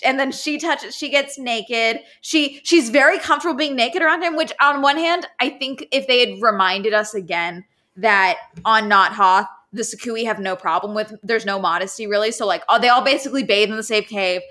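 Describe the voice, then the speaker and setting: A woman's voice: a little high, moderate pace, hardly any pauses, bright, forceful tone. A young woman guest on a podcast, chatting casually and with opinions about a book, with wry asides. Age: young adult. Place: indoors, living room with couch.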